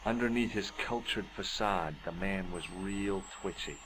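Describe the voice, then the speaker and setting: A man's voice: low-pitched, unhurried, a bit bright, slight background noise, low-quality recording. An actor playing a wry, inquisitive man, the hero of an adventure game, in dialogue and narration. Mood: wry, musing.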